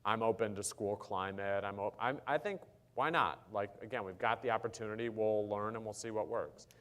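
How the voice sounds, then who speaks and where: A man with a low-pitched voice speaks at a conference panel. He explains policy in a measured way, often pausing on ums and uhs. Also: fairly bright tone. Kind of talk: panel discussion answer.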